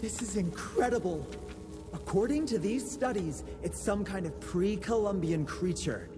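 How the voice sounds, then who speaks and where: A chatty young American scientist from a video game, high-pitched and animated. He is nerdy, eccentric and cheeky, speaking over loud music. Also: measured pace. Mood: awed, chatty. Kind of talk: video game cutscene acting.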